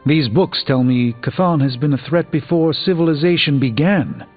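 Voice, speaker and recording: An actor playing a middle-aged, scholarly sorcerer superhero in a video game, speaking in an articulate, wry, formal manner. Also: male voice, fairly high pitch, moderate pace, natural voice. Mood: weary, sincere. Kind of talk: video game character dialogue. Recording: thin phone-like audio, music behind.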